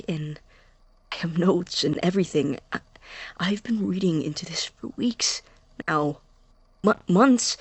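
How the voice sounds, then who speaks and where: Woman voicing anxious obsessed young student for an audio drama, slow n halting, breathy husky voice. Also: low voice, no room sound, heavily acted. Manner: halting, self-interrupting, intense. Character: obsessive, anxious young science student convinced of being right.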